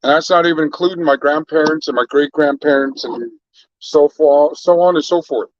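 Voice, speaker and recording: A man's voice: fairly high pitch, moderate pace, no room sound, dull audio. A man from Canada hosting a casual livestream chat, laid-back and rambling as he greets viewers by name. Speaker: livestream host.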